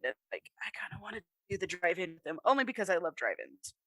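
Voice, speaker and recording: A woman's voice: on the low side, sing-song, quick pace, fairly bright tone, dry recording. An American woman co-hosting a podcast, chatting casually and rambling off on tangents. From Utah, United States. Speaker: podcast co-host. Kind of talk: casual chat.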